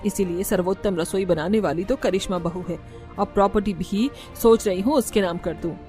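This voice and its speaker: A woman's voice: medium pitch, moderate pace, warm tone, faint music behind, natural voice. A woman voicing a stern middle-aged mother-in-law in a cartoon, firm and authoritative. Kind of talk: cartoon voice acting.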